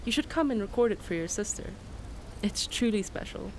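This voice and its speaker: A woman playing a kind, welcoming townswoman in a radio drama, speaking gently. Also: medium pitch, animated, brisk, lightly acted. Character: kind, welcoming townswoman of a peaceful eco-city. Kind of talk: radio drama dialogue and storytelling. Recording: noisy outdoor ambience behind the voice.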